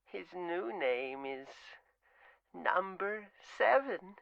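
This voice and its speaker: Very slow, eerie taunting stranger. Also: male voice, high voice, animated, gravelly, no echo, full character voice. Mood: taunting, menacing. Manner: slow, singsong, menacing. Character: eerie, taunting stranger making a sinister birthday call. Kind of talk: horror game voice acting. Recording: dull, lo-fi game audio.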